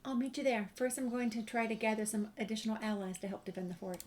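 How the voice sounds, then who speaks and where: High-voiced woman gamer, noisy mic. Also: little pitch movement, quick pace, darkish tone. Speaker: game player and streamer. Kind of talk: casual gameplay talk, reading dialogue choices aloud. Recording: clear background noise, clicks.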